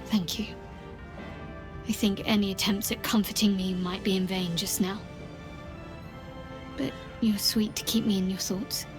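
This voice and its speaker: A woman's voice: mid-range voice, steady tone, measured pace, long pauses, lightly acted. A woman playing a guarded, dry-witted adventurer in a video game, cool and understated. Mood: guarded, softened. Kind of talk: video game dialogue acting. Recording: music behind the voice.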